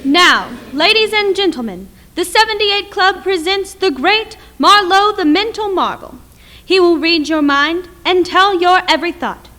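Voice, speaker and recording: A woman playing a showy nightclub announcer in an old-time radio play, proclaiming theatrically over faint rumbling noise. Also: lively intonation, measured pace, bright, forceful tone, heavily acted. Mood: showy, teasing. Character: showy nightclub stage announcer introducing a mind reader.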